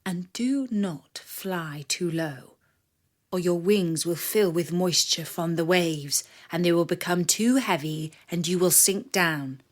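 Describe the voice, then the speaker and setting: A woman voicing a protective father from Greek myth in a storybook, instructing his son in a grave, deliberate manner. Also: on the low side, voice pushed down. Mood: grave, cautioning.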